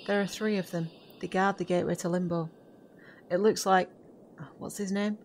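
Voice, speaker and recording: A woman playing a composed witch in an audio drama, with a measured, formal way of talking. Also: lowish voice, fairly even intonation, darkish tone. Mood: grave, steady. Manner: measured, formal, avoids contractions. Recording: faint background noise and ambience.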